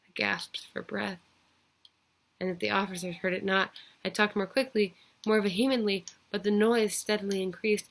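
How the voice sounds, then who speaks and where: A woman voicing an unhinged murderer confessing in a theatrical, deliberate dramatic reading of a horror story, with a creaky voice. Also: medium pitch, steady tone, close to an everyday voice, low-quality recording. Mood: increasingly uneasy. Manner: theatrical, deliberate, building to frenzied shrieks. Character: unhinged murderer narrating a confession, smug then frantic.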